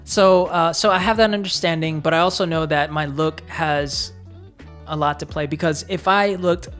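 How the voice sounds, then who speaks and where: Low-pitched American woman, thoughtful co-host, circling through ideas with lots of "you know" and "like". Her voice sits very deep for a woman. Soft music plays faintly underneath. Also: steady tone, moderate pace. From United States. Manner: reflective, talks in long searching sentences with many fillers. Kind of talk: casual chat.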